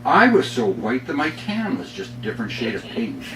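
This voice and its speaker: A middle-aged Canadian man reading his own poems live, with measured, deliberate phrasing and dramatic pauses. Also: medium pitch, sing-song. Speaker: poet reading own work. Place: bookstore reading before a small audience. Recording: roomy, distant mic, faint noise.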